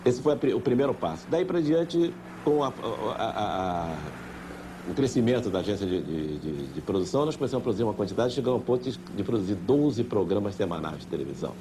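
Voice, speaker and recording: An older man with a Brazilian accent recalling his work as a director, halting and repeating words. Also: on the low side, very animated. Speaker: veteran TV director. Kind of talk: interview recollection. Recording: faint noise, old TV tape.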